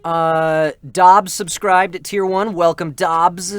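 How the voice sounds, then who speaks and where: A man's voice: high voice, steady tone, takes it slowly, a bit bright, clean tone, no echo. A man hosting a casual livestream, reading out subscriber messages and thanking viewers. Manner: appreciative, thanks viewers often. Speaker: livestream host. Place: indoors in an air-conditioned room.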